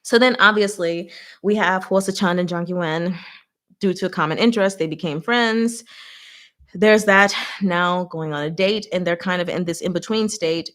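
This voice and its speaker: A woman hosting a live recap in a casual, chatty, expressive style, talking to her audience like a fellow fan. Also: lowish voice, moderate pace. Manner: chatty, expressive, giggly, slangy. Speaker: host of a live book recap and discussion.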